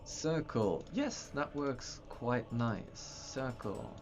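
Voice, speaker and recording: A man's voice: medium pitch, expressive, drawn-out words, a little hiss or hum. A man hosting a livestream, chatting casually in a rambling way with stumbling restarts and repeated words. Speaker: livestream host showing map software. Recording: dull lo-fi sound with faint music.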